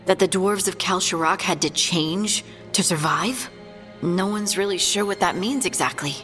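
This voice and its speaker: An actress playing an earnest, curious dwarf scholar in a video game, explaining things thoughtfully. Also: female voice, fairly low pitch, moderate pace, a bit bright, lightly acted. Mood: pensive. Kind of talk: video game character acting. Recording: long hall reverb, faint music.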